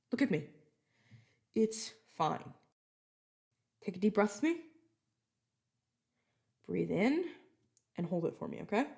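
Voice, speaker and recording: A woman's voice: mid-range voice, darkish tone, slightly rough. A woman playing a casual, reassuring vampire guide in an audio roleplay, talking informally to the listener as a mentor to a newcomer. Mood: soothing. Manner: chatty, informal, self-correcting, reassuring.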